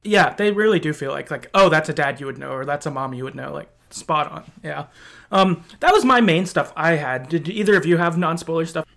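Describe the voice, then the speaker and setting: A man with a voice a little on the high side hosts a podcast. He speaks casually, in an agreeable, affirming manner. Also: animated, fairly quick, creaky, fairly bright tone. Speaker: podcast host.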